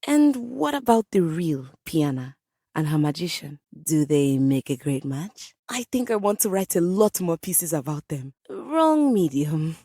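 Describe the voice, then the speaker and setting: A woman's voice: low-pitched, sing-song, unhurried, mellow, no echo, clean studio sound, full character voice. A woman playing a proud, vain piano come to life in a video game, theatrical and grandiloquent in manner. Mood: fond, teasing. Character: proud, dramatic female living piano, vain musical virtuoso. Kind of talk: video game character acting.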